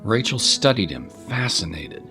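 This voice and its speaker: A man's voice: lowish voice, very animated, a little slow, vocal fry, music in the background. A man narrating a scripted dramatic story in the manner of an audiobook.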